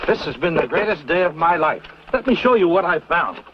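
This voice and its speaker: A man's voice: on the high side, big pitch swings, fairly quick, a little hiss or hum. A man playing a courteous, enthusiastic professor in an old western drama, explaining things in a scholarly, theatrical way. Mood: cordial, pleased. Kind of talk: mid-century western drama acting. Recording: muffled vintage soundtrack, loud music.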